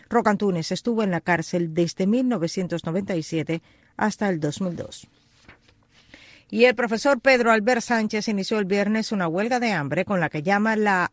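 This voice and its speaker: A man's voice: high-pitched, dry recording. A man reading scripted news as an anchor.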